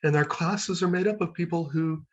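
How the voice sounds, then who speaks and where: A man's voice: on the high side, moderate pace. An American man, a senior lecturer, introducing himself earnestly at an open day. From United States. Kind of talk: self-introduction at an online event. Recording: dull, lo-fi.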